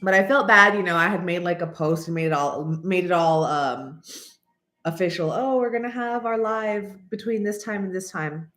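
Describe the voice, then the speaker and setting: A woman in her late thirties talks to her viewers in a loose, rambling vlog chat, her voice stuffy and nasal from congestion. She clears her throat often, and her voice sits a little low for a woman. The sound has an echoey, roomy ring. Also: normal speed, a bit bright. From American. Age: 30s. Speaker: channel host.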